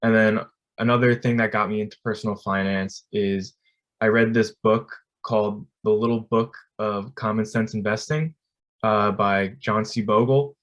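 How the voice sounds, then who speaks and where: Young man, 20s, low-pitched and monotone, casual panelist with fillers on a video call. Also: moderate pace. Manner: casual and friendly, with frequent fillers. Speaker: graduate student panelist. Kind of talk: workshop self-introduction.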